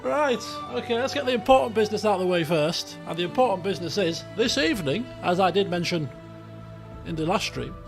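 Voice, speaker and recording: A British man hosts a casual game stream in a high voice with a croaky, creaky edge. He rambles along chattily in colloquial language, with faint music behind him. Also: expressive, brisk. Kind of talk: casual livestream chat.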